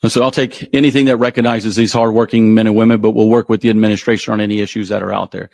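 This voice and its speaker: A man's voice: on the low side, little pitch movement, racing, vocal fry, a little mellow, no echo. A man speaking as a union president at a press conference, answering questions in an emphatic, formal way that repeats phrases for weight.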